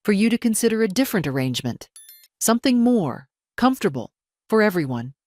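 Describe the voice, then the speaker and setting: A woman voicing a manipulative daughter-in-law in an audio story, careful, deliberate and condescending. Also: mid-range voice, very animated, a little mellow, dry recording, clean studio sound, voice pushed up, close to an everyday voice. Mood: falsely warm. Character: manipulative daughter-in-law, smooth veneer over cold menace.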